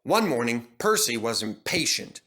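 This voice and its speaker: A man reading a children's story as narrator, in an expressive storytelling manner. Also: medium pitch, moderate pace.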